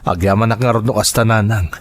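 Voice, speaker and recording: A man playing a devoted, gentle father and dutiful son in a radio drama, speaking earnestly and coaxingly. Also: lowish voice, fairly even intonation, quick pace, no echo, close to an everyday voice. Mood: earnest, hesitant.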